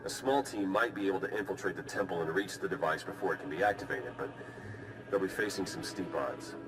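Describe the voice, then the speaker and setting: An actor playing an earnest, skeptical agent in a science fiction game cutscene. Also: male voice, low voice, a little fast, background noise, close to an everyday voice. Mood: serious. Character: earnest, skeptical male agent in a space war. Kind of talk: video game cutscene acting.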